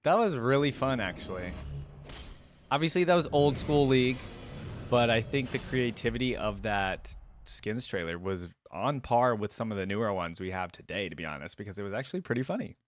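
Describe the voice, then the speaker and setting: A man's voice: mid-range voice, animated, a little fast, slight background noise. A man hosting a reaction video, giving casual, chatty commentary and musing aloud.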